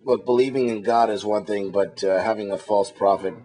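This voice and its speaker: A man working as an interviewer, challenging his guest in a halting, repetitive way. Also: lowish voice, fairly even intonation, over a noisy crowd, low-quality recording. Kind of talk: confrontational phone interview.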